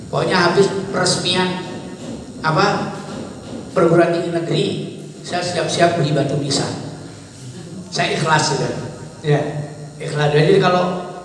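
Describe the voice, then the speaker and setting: A man's voice: high-pitched, steady tone, unhurried, pauses often, crowd noise. Sixty-year-old man in an echoing hall. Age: 60s. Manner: rambling, conversational, fatherly asides. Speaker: pesantren head. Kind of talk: informal advice talk to students and alumni. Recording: echoey hall, distant mic, faint noise.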